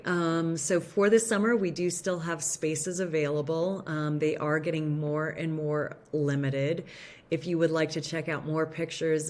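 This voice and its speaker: A woman with a notably low voice speaks on a video call. She sounds upbeat as she presents a teen summer program, and she often stops on an "um". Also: darkish tone. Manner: upbeat, with frequent "um" pauses. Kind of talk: virtual fair presentation.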